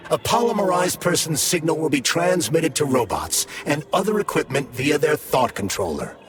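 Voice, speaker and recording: Scheming polymer companion, smooth grave voice, higher pitch, lively rise and fall, music clear behind. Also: male voice, moderate pace. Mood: earnest. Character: male mind in a polymer glove, scheming.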